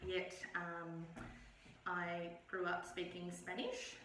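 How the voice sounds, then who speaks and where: A middle-aged woman, chatting as an interview guest in a relaxed, hesitant way with frequent ums. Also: fairly low pitch, takes it slowly, slight background noise. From Australia. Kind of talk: casual conversational interview. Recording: echoey room, mic at a distance.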